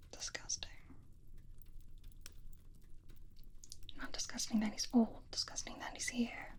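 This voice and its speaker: A woman playing a flirtatious, possessive female vampire in a whispered ASMR fantasy roleplay, teasing and intimate, with lingering pauses. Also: natural voice. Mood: disdainful, gossipy.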